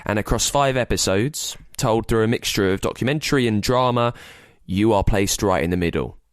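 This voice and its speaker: A man with a fairly low voice hosts a podcast. He reads his script in a chatty, informal, conversational way. The sound is close and dry, with very little room. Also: moderate pace, no room sound. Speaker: podcast host.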